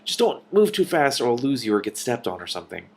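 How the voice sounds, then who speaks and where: A man's voice: mid-range voice, very animated, fast, a little mellow, husky. A practical young storybook princess, read by a man. She is curious and a little wary. Her manner is plain-spoken and questioning. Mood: doubtful.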